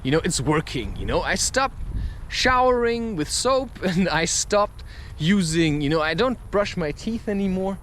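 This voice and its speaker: Male podcaster, high-register voice, off-the-cuff and musing delivery, recorded outdoors with faint vehicle noise. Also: very animated, measured pace, fairly bright tone. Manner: musing, loose, frequent rhetorical questions. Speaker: podcast host. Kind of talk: rambling spontaneous monologue.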